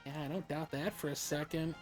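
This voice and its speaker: A woman's voice: low-pitched, steady tone, a little fast, faint music behind. A woman casually chatting while she plays through a video game. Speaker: gamer dubbing a live playthrough. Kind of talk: casual gameplay chat.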